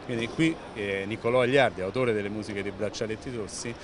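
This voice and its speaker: A man's voice: lowish voice, fairly even intonation, fairly quick. A man in his fifties with an Italian accent, giving earnest, fluent interview answers into a handheld microphone in a large indoor expo hall, with frequent "eh" fillers and restarts. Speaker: president of an environmental organization. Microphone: handheld reporter microphone held close. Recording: noisy venue background.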